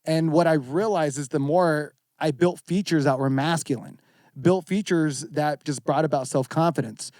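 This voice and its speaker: A man's voice: on the high side, normal speed, a little mellow, no room sound. A man in his thirties talking candidly and earnestly as a podcast guest, reflective and full of casual slang. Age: 30s. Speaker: podcast guest, fitness competitor.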